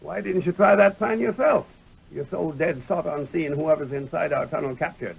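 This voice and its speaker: Very gravelly old Western prospector. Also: male voice, a little high, moderate pace. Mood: skeptical. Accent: American. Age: older. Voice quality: very creaky, gravelly. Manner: rustic frontier dialect, crusty. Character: gruff, cantankerous old male prospector, loyal sidekick. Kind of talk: 1940s American radio western acting. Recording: noisy old radio recording.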